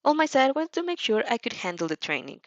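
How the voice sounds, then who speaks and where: A woman playing a mellow, polite young student hero with dry confidence in an audio story. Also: fairly high pitch, very animated, fast, no room sound, lo-fi sound, pitched above the performer's own voice. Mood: indignant.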